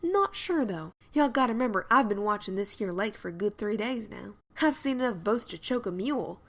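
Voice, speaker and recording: A woman's voice: medium pitch, lively intonation, fairly quick. A woman playing a brash, folksy young Southern student photographer with a twangy drawl in a game dub. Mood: casual, boastful. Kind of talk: dubbed visual novel game dialogue. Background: background music.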